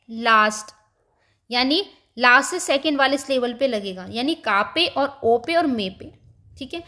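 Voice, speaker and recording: Female language tutor, high voice, calm and patient, slows down to model example words. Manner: mixes English terms into her talk. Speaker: language teacher. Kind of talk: explaining a language lesson over slides.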